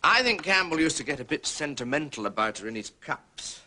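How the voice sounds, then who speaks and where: An actor playing a blunt, slightly uneasy gentleman witness, clipped and defensive, in a period detective drama. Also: fairly high pitch, sing-song, fairly quick, exaggerated voice.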